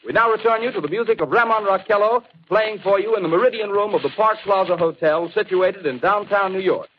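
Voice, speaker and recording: An actor plays a formal American newsman on 1930s radio, his male voice pitched high. He declaims in clipped phrases through a muffled, slightly hissy old recording. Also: fairly quick, natural voice. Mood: formal, earnest. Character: formal, urgent male radio news announcer.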